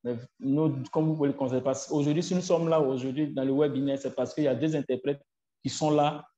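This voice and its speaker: A man answering in a webinar interview, halting and repeating himself as he searches for words. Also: mid-range voice, steady tone, warm tone. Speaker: association leader guest. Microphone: video call. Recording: echoey, muffled online call audio.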